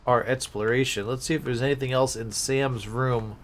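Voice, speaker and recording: A man doing casual livestream game commentary, musing aloud haltingly and breaking into exclamations. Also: medium pitch, fairly even intonation, a little hiss or hum. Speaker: game streamer.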